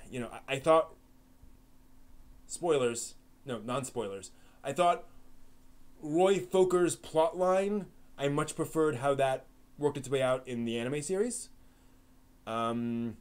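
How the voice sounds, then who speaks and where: A man hosting a casual live stream who thinks aloud and rambles, with many pauses, ums and restarts. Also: mid-range voice. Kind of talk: casual live-stream chat answering chat questions.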